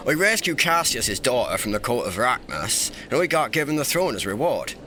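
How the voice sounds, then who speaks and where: A man's voice: medium pitch, big pitch swings, normal speed, bright, forceful tone, a little hiss or hum. A man playing a young, mischievous and irreverent emperor in a fantasy audio drama, with a theatrical, teasing, breezy delivery. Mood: breezy explaining. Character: young playful irreverent male emperor, secretly informal and mischievous.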